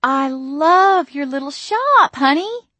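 A woman's voice: high voice, animated, takes it slowly, sharp tone, no echo, pitched above the performer's own voice, exaggerated voice. Woman doing a cooing, gushing mother. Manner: cooing, effusive, meddling. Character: gushing overbearing middle-aged mother.

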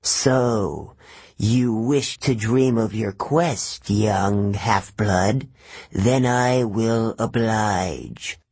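A man voicing a cold, scornful monster from the deep. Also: a little mellow, husky, dry recording, exaggerated voice. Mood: mocking. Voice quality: cold, slow, commanding. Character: menacing ancient male monster in a pit, scornful. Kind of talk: audiobook character voice.

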